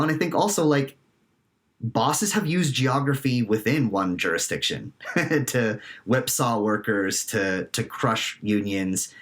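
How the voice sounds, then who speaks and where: Fairly low Canadian man explaining. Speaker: podcast guest, labour organizer and academic. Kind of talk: conversational political commentary.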